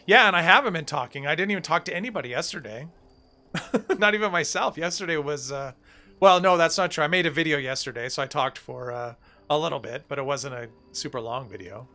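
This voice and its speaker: A man streaming a game live, chatting in a rambling, self-deprecating way with lots of fillers, his voice a little hoarse. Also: on the high side, brisk, sharp tone. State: slightly hoarse. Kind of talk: casual live-stream chat while gaming.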